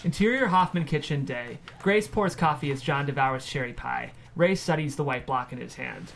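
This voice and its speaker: A man reading scripted screenplay narration and stage directions in a measured way. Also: medium pitch, gravelly, a bit bright, a little hiss or hum.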